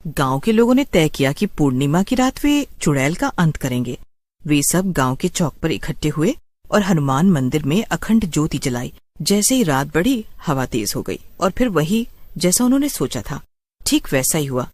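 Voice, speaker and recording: A woman's voice: low voice, very animated, normal speed, a little mellow, no room sound. A woman narrating a scripted horror story in a dramatic storytelling style.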